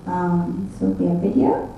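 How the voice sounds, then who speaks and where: A woman's voice: on the low side, unhurried, gravelly, lo-fi sound. A young woman with a Zimbabwean accent giving a business presentation as a conference speaker. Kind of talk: presentation pitch to an audience. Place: indoor event room with tables. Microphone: handheld mic, picked up by far camera. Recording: distant, echoey room with faint noise.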